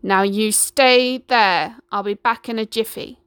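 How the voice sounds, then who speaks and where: A woman voicing a huge, lonely male giant who is gruff but kindly, speaking slowly and heavily. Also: fairly high pitch, fairly even intonation, fairly bright tone, dry recording, clean studio sound.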